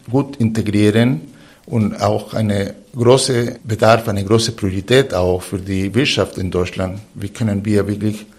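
A man with a foreign accent in German, a non-native speaker hosting a radio interview in halting, deliberate phrases. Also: fairly low pitch. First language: not German. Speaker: radio show host.

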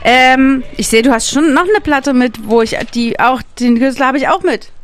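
A woman's voice: high-pitched, normal speed. A woman co-hosting a casual chat, curious and enthusiastic, asking short questions. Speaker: co-host.

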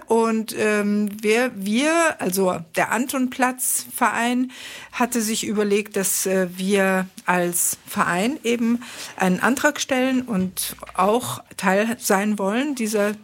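Thoughtful woman guest from Israel. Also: on the high side. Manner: thoughtful, explains at length with frequent hesitations. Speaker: interview guest. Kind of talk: conversational interview. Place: studio.

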